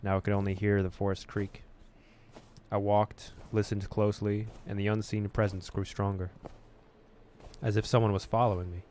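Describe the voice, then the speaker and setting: A man reading a horror visual novel aloud as a gaming host, with expressive storytelling and casual delivery. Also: on the low side, normal speed, a little hiss or hum.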